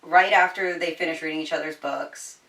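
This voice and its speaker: A woman with a bright, lowish voice reviews a book in a casual, chatty way. She is slangy, swears freely and tells the story with animation. The room is echoey and she sits a little off the mic. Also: moderate pace, recorded from a distance, slight background noise. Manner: informal and slangy with frequent swearing; animated storyteller, voicing quoted dialogue.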